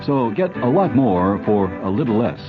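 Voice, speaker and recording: A man's voice: fairly low pitch, big pitch swings, normal speed. A man announcing a 1970s radio commercial with punchy delivery. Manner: punchy price listing. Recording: dull old radio broadcast. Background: music with guitar.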